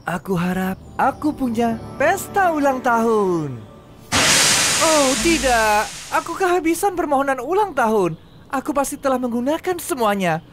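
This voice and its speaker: A high, very lively cartoon voice for a kind little boy engine, earnest and childlike, always eager to help. Loud music plays behind it. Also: a little slow. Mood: puzzled, disappointed. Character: cheerful, kind young male tank engine, eager to help. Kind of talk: dubbed children's cartoon acting.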